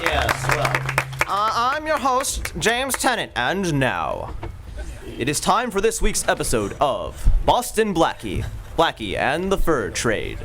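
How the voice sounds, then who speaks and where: A man's voice: a little high, wildly swooping pitch, slow, music in the background, crowd noise. A man hosting live community radio theater, with a theatrical announcer-style delivery. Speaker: host.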